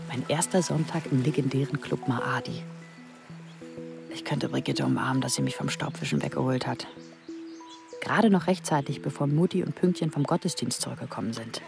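Young secretary heroine, radio drama. Very low female voice with fry, naive and inquisitive, music behind. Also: normal speed, long pauses. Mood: reflective, intimate. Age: young adult. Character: seventeen-year-old secretary, curious, naive, impressionable. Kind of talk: radio play acting with intimate narration.

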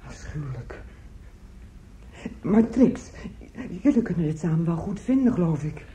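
A woman's voice: on the low side, very animated, measured pace, dark tone. An actress playing a fretful, meddling older woman in a radio drama, plaintive and garrulous. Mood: insistent, confiding. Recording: echoey, noisy old radio drama recording.

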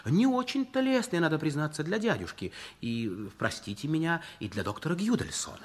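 A man acting an earnest, worried young fiancé in a 1960s Soviet radio drama, explaining in a theatrical, measured way. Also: a little high, very animated. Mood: earnest, wry.

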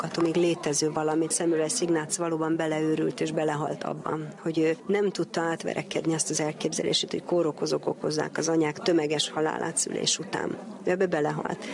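Female physician interviewee, earnest and persuasive, flat intonation, recorded at a busy venue with audible crowd. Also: low-pitched. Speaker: family doctor and homeopath, interview guest. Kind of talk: reflective interview answers. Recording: busy crowd chatter behind.

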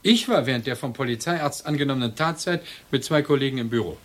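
A man's voice: medium pitch, quick pace, close to an everyday voice. An actor playing a stern, insistent widower in a radio crime drama, pressing and pointed in his accusations. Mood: grim, curt. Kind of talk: German radio crime play acting.

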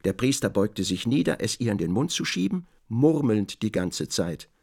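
Radio drama narrator: literary, measured and observant, varied melody, some fry in the voice. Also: male voice, fairly low pitch, big pitch swings, a little mellow, heavily acted. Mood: descriptive, measured.

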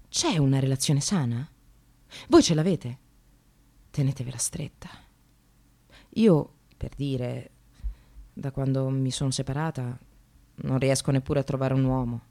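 An actress playing a sardonic, separated working mother in a radio monologue, confessional and swinging from hushed to vehement. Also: a bass voice, full character voice. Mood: weary, bitter. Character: Sara, bitter, self-mocking separated mother in precarious work.

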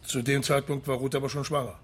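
An actor playing a polite, persistent Italian police investigator in a radio crime play, asking formal, matter-of-fact questions. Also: male voice, medium pitch, flat delivery, fast, husky, natural voice. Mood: probing. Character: sober, persistent male Carabinieri investigator, polite and probing.

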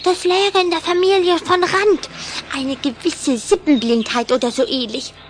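A woman playing a smitten, headstrong little vampire girl in a German children's audio drama, speaking with coquettish, expressive emphasis. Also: very high voice, a little slow, slight background noise. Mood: confiding.

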